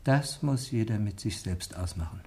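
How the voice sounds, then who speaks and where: An actor playing a genial, teasing village doctor in a radio drama, bantering yet measured in his delivery. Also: lowish voice, expressive, warm tone. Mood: measured, serious.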